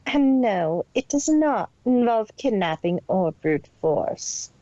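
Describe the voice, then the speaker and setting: A woman's voice: mid-range voice, lively intonation, slow pace, warm tone, no room sound, dull audio. A woman voicing a cold, detached, imperious female pony villain boss in an amateur story reading. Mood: cold, commanding. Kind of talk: amateur fan fiction audio reading.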